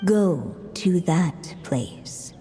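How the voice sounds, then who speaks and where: Enigmatic storybook woman, unhurried, poetic, game narration, cavernous echo, slight noise. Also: lowish voice, expressive, warm tone. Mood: solemn, mysterious. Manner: solemn, measured, enigmatic. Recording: long hall reverb, some noise.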